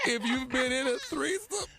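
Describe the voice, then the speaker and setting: A man's voice: very high voice, sing-song, takes it slowly, creaky, pushed and bright. A man co-hosting a radio show in casual group banter, talking in a melodramatic, playfully exaggerated way.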